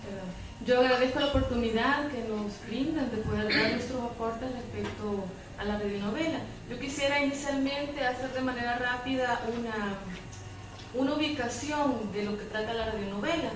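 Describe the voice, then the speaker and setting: A woman's voice: on the high side, steady tone, moderate pace. A woman giving polite, orderly remarks as a formal panelist. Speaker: panelist, psychologist. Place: large echoey room at a public event. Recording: echoey hall, distant mic, clear noise.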